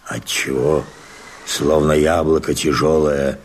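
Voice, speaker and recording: A solemn watchman in a theatrical radio play. The voice is extremely deep and husky, weighing each word slowly as it challenges in verse. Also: steady tone, heavily acted. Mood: wary, solemn. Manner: solemn, deliberate questioning.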